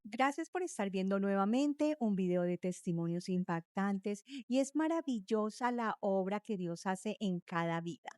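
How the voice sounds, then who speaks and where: A woman's voice: mid-range voice, lively intonation, a little slow, hardly any pauses, a little mellow, smooth, dry recording, clean studio sound. A woman speaking as the host of a religious testimony interview, introducing and questioning a guest.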